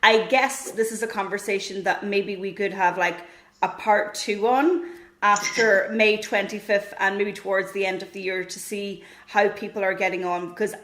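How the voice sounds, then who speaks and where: A woman with a medium-pitched voice speaks as a podcast host in conversation with a guest. Her recording is roomy, with clear echo on the voice. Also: steady tone, normal speed, fairly bright tone. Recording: roomy, echoing sound.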